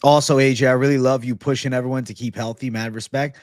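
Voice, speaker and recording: A man's voice: mid-range voice, steady tone, quick pace, dry recording, clean studio sound. A man hosting a casual livestream, chatting and answering viewers in slangy, profane, bro-heavy banter. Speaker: livestream host.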